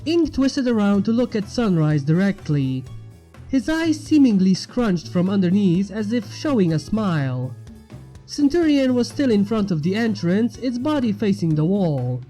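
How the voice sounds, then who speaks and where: A woman's voice: medium pitch, sing-song, a little slow, warm tone, soft background music. A woman reading scripted fiction aloud as the narrator.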